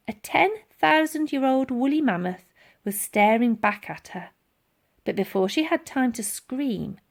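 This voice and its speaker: Children's book narrator: expressive woman, higher register, swooping dramatic delivery. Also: creaky. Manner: expressive, theatrical. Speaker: storybook reader.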